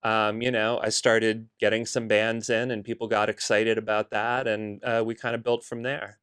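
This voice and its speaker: A middle-aged man from the Bahamas with a Bahamian accent, a guest in an interview who tells his story in a relaxed way with many fillers. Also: lowish voice, normal speed, smooth. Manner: relaxed storytelling with frequent "uh" and "you know". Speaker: guest, concert promoter. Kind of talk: casual interview conversation.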